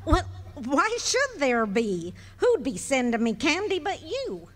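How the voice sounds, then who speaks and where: Actress as a slow, lilting, teasing wife, lively in pitch, recorded live in a reverberant hall. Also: female voice, heavily acted. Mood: delighted. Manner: fond, teasing, drawn-out delivery. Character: patient teasing middle-aged wife. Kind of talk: 1940s radio acting.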